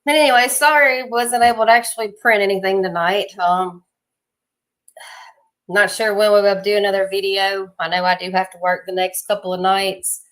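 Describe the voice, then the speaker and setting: A woman's voice: mid-range voice, fairly even intonation, moderate pace, fairly bright tone, poor audio. Woman, southern accent, casual livestream chat, folksy, laughs a lot. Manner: chatty, laughs often, folksy talk. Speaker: livestream co-host.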